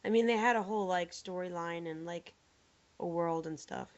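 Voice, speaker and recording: Hoarse woman podcaster. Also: on the low side. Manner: joking, quick banter. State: hoarse from laryngitis. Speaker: podcast co-host. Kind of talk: casual podcast chat. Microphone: remote call microphone. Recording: dull lo-fi online call audio.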